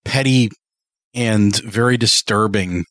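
A man's voice: fairly low pitch, takes it slowly, fairly bright tone, dry recording, clean studio sound. A man with an American accent casually chatting and asking questions as a podcast host. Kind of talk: casual chat, interviewing guests.

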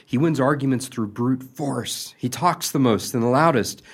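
A man's voice: medium pitch, normal speed, slightly rough. A man playing a jealous, lovesick suitor in an amateur radio play, earnest and exasperated, with a hesitant stammer.

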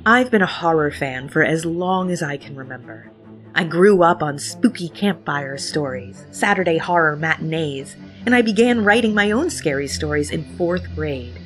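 A woman's voice: low-pitched, lively intonation, moderate pace. A woman hosting a podcast, reading a scripted introduction with playful, theatrical phrasing over background music. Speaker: podcast host. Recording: music bed behind the voice.

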